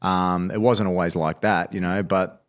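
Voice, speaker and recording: Low-voiced man from Australia hosting; easygoing, agreeable interviewer. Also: fairly even intonation, moderate pace. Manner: chatty, agreeable, many short backchannels. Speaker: podcast host. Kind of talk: casual interview chat.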